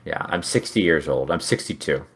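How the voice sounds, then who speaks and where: A man's voice: extremely low pitch, expressive, fairly quick, a bit bright. A man in his thirties chatting casually as a co-host, rambling and restarting his sentences with a joking tone. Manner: rambling, self-interrupting, joking. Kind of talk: casual chat.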